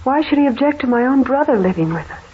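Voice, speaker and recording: An actress playing a gentle, anxious wife in an old-time radio drama, with a genteel, earnest manner. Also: female voice, sits high, expressive, fast. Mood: concerned. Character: gentle, anxious wife, devoted to her brother. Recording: muffled, hissy old radio recording.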